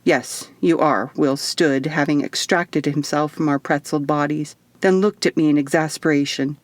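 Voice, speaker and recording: A woman with a slightly low voice narrates an audiobook in the first person. Her delivery is dramatic and scripted, and the recording is dry. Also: fairly even intonation, normal speed, no echo. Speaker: author reading own audiobook.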